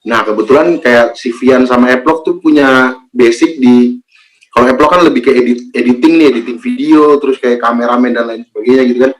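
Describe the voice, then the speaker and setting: A man's voice: mid-range voice, a little fast, poor audio. Chatty young man from Central Java. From Purwokerto area, Central Java. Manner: relaxed, chatty, many fillers and repeated agreement. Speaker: guest, YouTube content creator. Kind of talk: casual interview talk.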